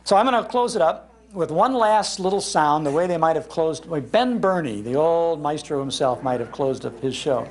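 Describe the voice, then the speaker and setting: Older American man, slightly creaky voice. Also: on the high side, animated, normal speed, hardly any pauses. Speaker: old-time radio show host giving a talk. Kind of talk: informal public talk to an audience. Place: public library meeting room.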